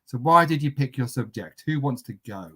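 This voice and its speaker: A man hosting a relaxed online student panel, jovial and teasing as he hands the floor to each guest. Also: medium pitch, brisk. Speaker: host.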